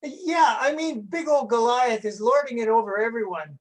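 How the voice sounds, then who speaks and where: Childlike dragon woman performer for a church puppet skit: slightly high, eager, playful, scatterbrained. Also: animated, normal speed, natural voice. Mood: eager, chatty. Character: childlike, excitable, easily distracted puppet dragon Bible scholar. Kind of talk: scripted children's church dialogue.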